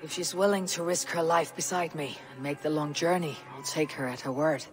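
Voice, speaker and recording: A woman playing a bold, gruff Viking warrior in a video game, with a low husky voice. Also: a little fast, natural voice. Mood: resolute. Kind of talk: video game cutscene acting. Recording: clear background noise.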